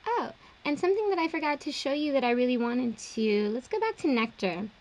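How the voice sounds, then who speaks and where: High-voiced young woman explaining studio work. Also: a little fast, faint music behind. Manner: relaxed, explanatory, uses fillers like um and like. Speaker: music producer. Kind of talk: casual studio tutorial. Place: small home studio indoors.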